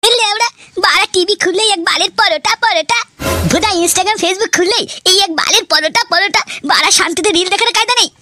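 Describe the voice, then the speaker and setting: A man voicing a hot-headed, foul-mouthed young cartoon man who rants and swears constantly, through a pitched-up effect. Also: quick pace, music in the background. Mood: furious ranting. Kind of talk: comedy cartoon dialogue.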